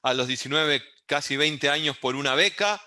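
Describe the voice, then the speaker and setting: A man's voice: a little high, normal speed, pushed and bright. A man in his fifties, from Uruguay, with a Buenos Aires Argentine accent, giving an online lecture over a video call in a conversational way and often checking in with his listeners. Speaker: guest lecturer.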